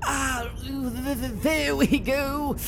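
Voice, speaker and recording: An actor playing an eccentric, bumbling wizard mentor in an audio drama, flustered and theatrical. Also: male voice, very high-pitched, steady tone, slow pace, creaky, noisy background, full character voice. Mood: pained.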